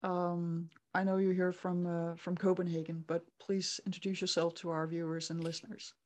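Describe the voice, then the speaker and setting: A woman hosting a podcast, introducing her guests in a measured way with frequent fillers. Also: lowish voice, little pitch movement, darkish tone. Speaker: podcast host.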